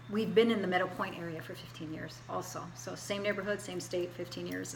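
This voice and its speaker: A woman in her forties introducing herself in a homemade class video, hesitant and full of pauses and restarts. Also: fairly low pitch, bright, forceful tone, roomy sound, a little hiss or hum, rough recording. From Hawaii, US. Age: 40s. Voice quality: hesitant, many fillers and restarts. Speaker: student.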